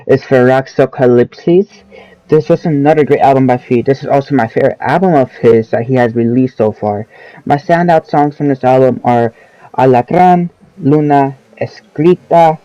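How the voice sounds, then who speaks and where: A man's voice: mid-range voice, warm tone, no echo, lo-fi sound. Eighteen-year-old guy rambling about albums. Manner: rambling, self-correcting, casual slang. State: voice lowered from oncoming sickness. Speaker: album review channel host. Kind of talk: casual spoken album reviews.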